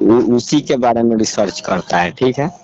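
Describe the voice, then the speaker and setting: Mellow Bihari-accented man, muffled call. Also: mid-range voice, animated, normal speed. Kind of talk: casual phone chat. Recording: dull lo-fi call recording, clear noise.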